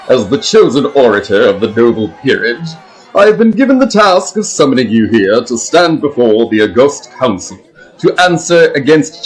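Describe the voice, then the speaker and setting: A man's voice: mid-range voice, rough recording. A man playing a noble orator in an audio drama, delivering formal accusations in an oratorical manner.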